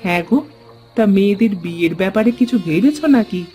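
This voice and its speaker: A woman's voice: medium pitch, fast talker, dark tone, faint music behind, lightly acted. Woman playing an aging, coaxing village mother. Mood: agreeable. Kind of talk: animated folktale dialogue.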